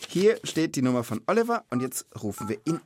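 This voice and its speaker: A man's voice: on the high side, big pitch swings, a little fast, darkish tone, a little hiss or hum. A man hosting a children's podcast in a casual, playful and informal chatting style. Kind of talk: casual, scripted-feeling podcast chat.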